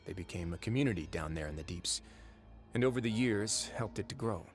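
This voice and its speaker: An actor playing a composed, wry young duke in a video game, speaking in a deliberate, measured storytelling manner. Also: male voice, lowish voice, lively intonation, soft background music, lightly acted. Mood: calm, measured. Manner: deliberate, dryly wry, unhurried. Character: composed, wry young duke who runs an underwater prison fortress.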